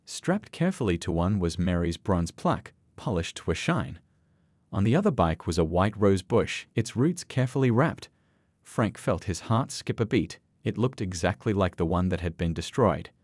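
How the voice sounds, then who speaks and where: Lively low-voiced male story narrator. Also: normal speed, no room sound. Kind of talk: scripted dramatic story narration.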